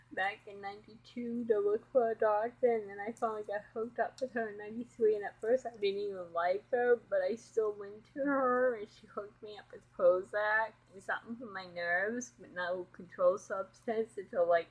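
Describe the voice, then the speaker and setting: Upset, husky-voiced middle-aged woman. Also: medium pitch, fairly even intonation, normal speed, lo-fi sound. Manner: upset, rambling, keeping quiet so as not to wake others. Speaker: vlogger. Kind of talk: emotional personal confession. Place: home at night.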